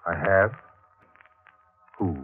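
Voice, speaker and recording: An actor playing a wry, ominous acquaintance who arranges murders, in 1940s radio drama style. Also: male voice, low voice, very slow, faint music behind. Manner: wry, dry, theatrically ominous. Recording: tinny, band-limited old radio broadcast.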